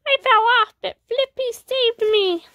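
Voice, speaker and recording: A woman's voice: very high voice, measured pace, bright, forceful tone, no room sound, clean studio sound, pitched above the performer's own voice, exaggerated voice. A woman voicing a curious, kind little kitten child in a storybook, with an eager, childlike manner. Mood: eager, sweet.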